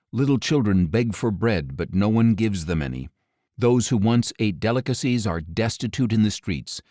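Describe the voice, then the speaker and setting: A man narrating scripture as an audiobook, with a solemn, measured delivery and deliberate phrasing. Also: fairly low pitch, dark tone, dry recording, studio quality.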